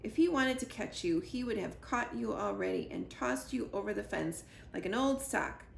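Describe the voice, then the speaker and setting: A woman's voice: medium pitch, very animated, normal speed, creaky, echoey room, slight background noise. A woman voicing a bossy, sarcastic young female chick in a storybook reading. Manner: brash, sarcastic.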